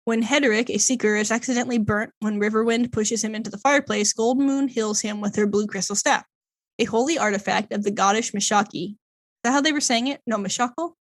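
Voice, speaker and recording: A woman's voice: on the high side, fast. A woman co-hosting a casual podcast, stumbling over odd names as she reads a long plot summary, with lots of fillers. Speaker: podcast co-host. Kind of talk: casual chat, reading a plot summary aloud.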